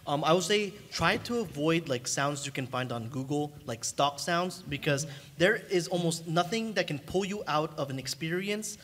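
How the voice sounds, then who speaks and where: A man's voice: fairly high pitch, expressive, normal speed, a bit bright. A man giving casual advice as a panelist at a convention. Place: large convention meeting room, panel table. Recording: faintly noisy convention room.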